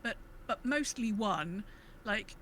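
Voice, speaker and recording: A woman's voice: mid-range voice, expressive, takes it slowly, a bit bright, a little hiss or hum. A woman podcast co-host chatting casually about gaming, griping with emphatic, exasperated rhetorical questions.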